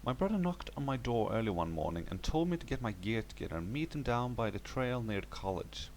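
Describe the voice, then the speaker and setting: A man's voice: mid-range voice, lively intonation, fairly quick, a little mellow, a little hiss or hum. A man reading a scripted first-person horror story as its narrator.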